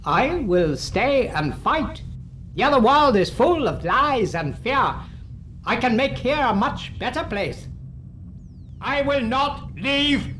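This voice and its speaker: An actor playing a defiant male prisoner who refuses to leave, speaking in a slow, brooding, unhinged way. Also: very high voice, sing-song, a bit bright, slight background noise, heavily acted. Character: defiant male prisoner clinging to his village. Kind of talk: fan audio drama acting. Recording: echoey with music behind.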